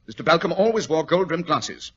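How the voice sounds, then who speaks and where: A brisk gentleman detective in a 1940s radio drama. He is clipped and commanding, with lively inflection and a slightly raised, husky voice. The old recording sounds dull. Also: a little high. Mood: deductive, assured. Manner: clipped, matter-of-fact, commanding. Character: brisk, authoritative gentleman detective, coolly deductive.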